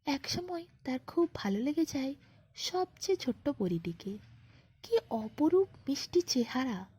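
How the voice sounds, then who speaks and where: A woman narrating a scripted bedtime fairy tale as a storyteller, in an expressive, unhurried manner. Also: sits high.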